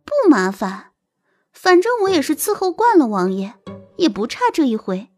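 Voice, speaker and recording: An actress playing a haughty, whiny, scheming young royal concubine in an audio drama, with an indignant, plaintive manner. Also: female voice, high voice, big pitch swings, normal speed, a little mellow, no echo, soft background music. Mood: sweetly cutting.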